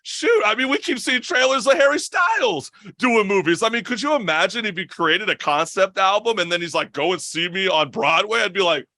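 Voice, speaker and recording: High-voiced, bright American man hosting. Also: animated, a little fast, hardly any pauses, dry recording, studio quality. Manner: enthusiastic, slangy hype. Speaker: talk show host. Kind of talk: casual interview chat.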